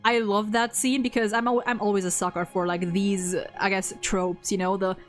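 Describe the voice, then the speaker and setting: A woman talking casually about a game she plays, in an animated, gushing manner and acting out quotes as she goes. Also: medium pitch, moderate pace, faint music behind. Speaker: co-host ranking game story quests. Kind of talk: casual gaming chat.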